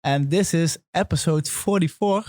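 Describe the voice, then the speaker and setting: A Dutch man, a podcast co-host, chatting in a friendly, eager way with halting phrasing. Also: fairly high pitch, a little mellow, no room sound. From Netherlands. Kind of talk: casual chat.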